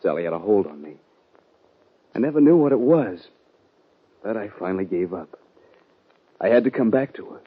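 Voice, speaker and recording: A man playing an anguished young outlaw cowboy with a Western drawl and husky voice, in 1950s radio western style. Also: fairly low pitch, animated. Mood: weary, wounded. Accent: American Western drawl. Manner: emotional, halting, pleading. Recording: dull vintage 1950 radio recording.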